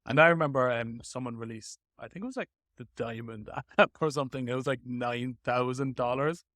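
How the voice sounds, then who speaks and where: A man hosting a casual tech podcast chat, with hesitant, halting phrasing. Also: medium pitch, fairly bright tone, no echo. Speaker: podcast host.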